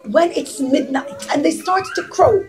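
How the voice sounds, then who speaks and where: Female children's teacher, high voice, expressive interactive storytelling, speaks directly to young listeners. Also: a little slow, soft background music.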